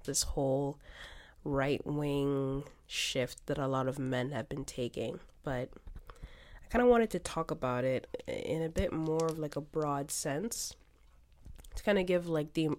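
A woman's voice: low voice, a little slow, a little mellow. A young woman hosting a casual solo podcast, congested from a cold, rambling with many fillers and restarts. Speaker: podcast host.

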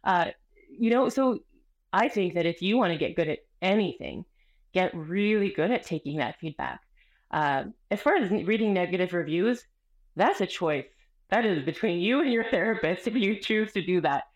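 A woman author speaking as a guest in a casual interview, expressive and energetic as she tells stories. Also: fairly low pitch, moderate pace, dull audio. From Canada. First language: Spanish.

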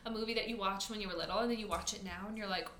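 A woman's voice: mid-range voice, fairly even intonation, very fast, fairly bright tone, slight background noise. A young adult woman, a teacher co-hosting a podcast, chatting casually with lots of fillers and frequent laughs. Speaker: podcast co-host. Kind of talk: casual chat. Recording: echoey room with faint ambience.

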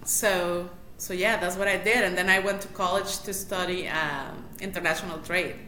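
A woman's voice: lowish voice, steady tone, normal speed, pushed and bright. A woman from Mexico City with a Mexican Spanish accent, chatting as an interview guest, laughing often and restarting her sentences. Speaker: guest, matchmaker. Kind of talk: casual interview. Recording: echoey room, faint noise.

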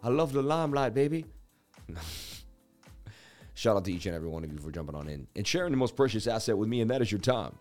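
A man in his thirties hosting a live crypto chart stream, chatty and animated with viewers, his voice scratchy as he loses it. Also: on the low side, fast, long pauses, faint music behind. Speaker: crypto market livestream host. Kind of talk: live chart analysis commentary.

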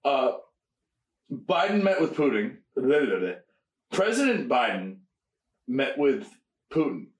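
A young man co-hosting a podcast, telling stories in casual chat, quick and animated and swearing freely. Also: medium pitch. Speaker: podcast co-host. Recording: echoey room.

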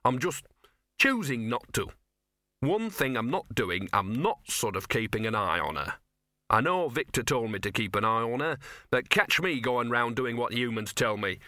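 A scruffy little storybook dog, played by a man, grumbling and full of bluster. The voice is growly yet bright and forceful, in a dry recording. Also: mid-range voice, big pitch swings, normal speed, dry recording. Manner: blustering, colloquial.

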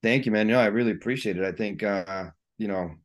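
A man from Guatemala, a high school soccer coach, talking casually as a podcast guest, often repeating himself with many "you know"s. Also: brisk. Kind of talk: casual interview chat.

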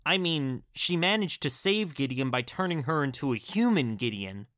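An awkward, apologetic man, voiced by an actor in an audio drama. His delivery is very animated and hesitant, as if owning up, and the recording is dry. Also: fairly high pitch, normal speed, dry recording. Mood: sheepish. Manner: sheepish, hesitant explaining. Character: awkward, flustered, apologetic man reporting mishaps.

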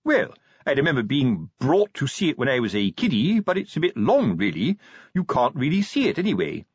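A man's voice: mid-range voice, wildly swooping pitch, a little fast, slightly rough, no room sound, voice pushed up. A man voicing a stout, plodding male watch sergeant who talks earnestly and tries to sound official. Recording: dull lo-fi recording.

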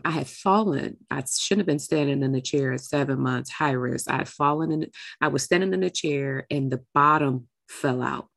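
A woman's voice: low voice, gravelly, dry recording. A woman hosting an interview show in a candid, heartfelt, familiar and affectionate conversational way.

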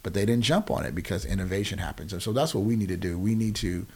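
Low-voiced American man in his forties, emphatic. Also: fast talker, vocal fry, darkish tone, breathy edge. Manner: emphatic, often checks in with "right?". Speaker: podcast guest, nonprofit founder. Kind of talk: conversational explaining in an interview.

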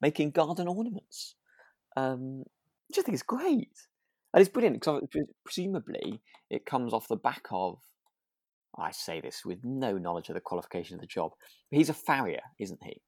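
A man's voice: medium pitch, big pitch swings, normal speed, darkish tone, no room sound, studio quality. A man co-hosting a podcast, chatting casually in a hesitant, agreeable way, often repeating words as he thinks. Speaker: podcast co-host. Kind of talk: casual discussion of a radio drama.

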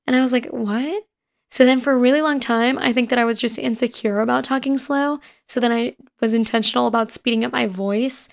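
A woman in her twenties with a raspy voice, hosting a casual podcast and rambling quickly through personal stories. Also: fairly high pitch, fairly even intonation. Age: 20s. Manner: fast-talking and rambling. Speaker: podcast host. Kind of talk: casual chat. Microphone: home recording setup.

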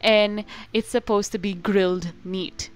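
A woman's voice: mid-range voice, steady tone, measured pace, smooth. A young woman co-hosting a podcast in casual chat, giggly and rambling, breaking into playful rants. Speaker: podcast co-host.

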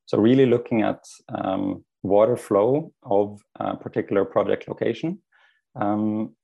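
A man's voice: lowish voice, gravelly, warm tone, dull audio. A young adult man, answering interview questions thoughtfully and often repeating words as he finds his phrasing. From Norway. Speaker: guest, startup co-founder.

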